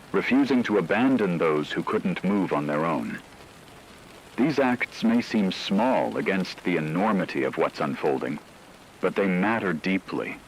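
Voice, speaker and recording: Casting: a man playing a solemn, deep-voiced news anchor for a radio disaster drama, formal and rhetorical, faint background noise. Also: poor audio. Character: grave male radio news anchor reporting an apocalypse.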